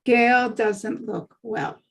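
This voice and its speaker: A woman narrates stage directions for a script read-through. Her voice is on the high side, and she delivers short descriptive sentences as scripted narration. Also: fairly even intonation, extremely slow, a bit bright.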